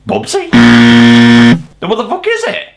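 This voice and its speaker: A man's voice: medium pitch, wildly swooping pitch, takes it slowly, gravelly. A man hosting a scripted countdown review, with casual swearing and mock-incredulous asides. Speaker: video reviewer and host.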